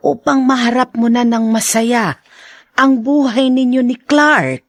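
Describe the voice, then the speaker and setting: A woman playing a patient older mother in an audio drama, gently pleading with measured pauses. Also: on the high side, no room sound. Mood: earnest pleading.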